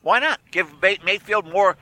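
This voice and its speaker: A man in his sixties with a shrill, distinctive voice, bantering about sports and repeating himself emphatically. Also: normal speed, bright, forceful tone, no room sound. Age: 60s. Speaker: sports talk show panelist.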